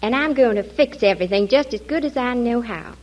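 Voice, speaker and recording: A woman playing a kindly, reassuring homemaker in a gentle, homey way, in 1940s American radio comedy. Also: fairly high pitch, lively intonation, brisk, natural voice. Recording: dull old radio recording.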